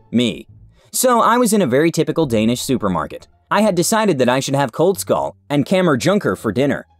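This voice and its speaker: A man narrating forum stories in a steady scripted read. His pitch rises and falls freely. The sound is dry and close, with faint music underneath. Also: no echo.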